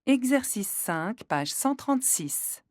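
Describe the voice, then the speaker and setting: A woman reading French textbook listening drills with careful, deliberate enunciation, recorded close in a studio. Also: on the high side, big pitch swings, dry recording. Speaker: textbook audio reader. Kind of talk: slow, clear read-out of French drill prompts.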